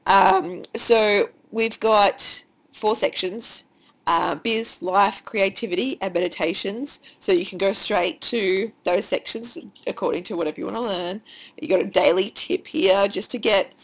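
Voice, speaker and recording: An Australian woman with a cold and a nearly lost voice, chatting excitedly and rambling with many fillers as she walks through her site at home. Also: mid-range voice, unhurried, gravelly. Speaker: online course creator. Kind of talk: casual screen-share walkthrough. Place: at home with children nearby.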